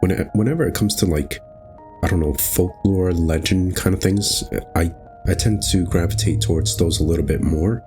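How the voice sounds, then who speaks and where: A man's voice: low voice, a little mellow. A man hosting a gaming video, reading visual novel narration aloud and adding casual commentary.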